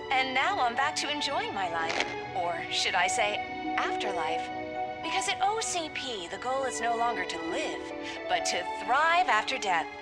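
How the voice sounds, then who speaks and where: Lively, salesy cyborg spokeswoman over loud music. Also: on the high side. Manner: upbeat, salesy. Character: chirpy resurrected female cyborg in a corporate commercial. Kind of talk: polished promotional ad read. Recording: loud music bed under voice.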